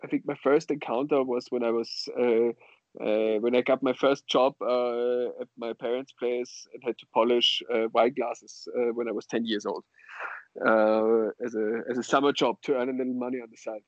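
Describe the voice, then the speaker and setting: Dull-sounding Austrian man, halting casual talk. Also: mid-range voice, flat delivery, no room sound. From western Austria. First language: German. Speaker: guest, sommelier and wine bar co-owner. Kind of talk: casual interview chat.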